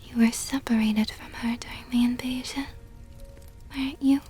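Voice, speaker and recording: A woman playing a seductive, possessive vampire countess in an ASMR roleplay, teasing in a soft whisper with mockingly sweet, coaxing words. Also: on the high side, steady tone, moderate pace, soft background music, lightly acted.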